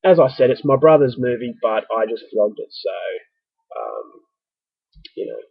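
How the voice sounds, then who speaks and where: A man's voice: mid-range voice, wildly swooping pitch, moderate pace, vocal fry, breathy edge, no echo, lo-fi sound. An Australian man with a stuffy, coming-down-with-the-flu voice, chatting casually to his viewers in a rambling, self-mocking vlog. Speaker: vlogger. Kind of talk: casual vlog talking to camera.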